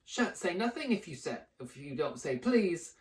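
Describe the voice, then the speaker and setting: A man's voice: high voice, huge pitch swings, a little slow, distant mic, voice pushed up, exaggerated voice. A man voicing a mischievous male poltergeist who taunts in a sing-song way, in a roomy space. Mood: sing-song taunting. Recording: roomy echo.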